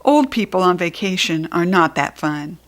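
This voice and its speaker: A woman's voice: fairly low pitch, expressive, moderate pace. A woman with an American accent narrating a children's book expressively as a storybook reader.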